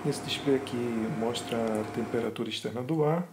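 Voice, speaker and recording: A man calmly and methodically walking through his home flight simulator, recorded on a camera in a small room. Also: mid-range voice, normal speed. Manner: calm, methodical, pointing things out. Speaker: flight sim hobbyist. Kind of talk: casual show-and-tell narration. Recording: roomy, with loud fan and engine noise.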